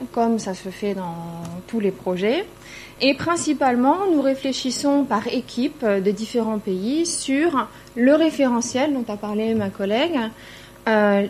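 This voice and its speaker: Middle-aged female academic, fairly high voice, explanatory conference delivery, slight background hum. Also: a little mellow. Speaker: university lecturer presenting at a conference. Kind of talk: conference presentation. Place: indoor conference room, seated at a panel table.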